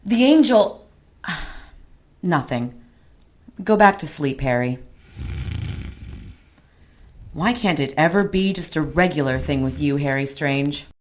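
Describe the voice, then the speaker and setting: A prickly, down-to-earth ally in a radio drama. Her voice sits a little low. She speaks bluntly, with wry scepticism. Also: expressive, unhurried. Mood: doubtful, exasperated.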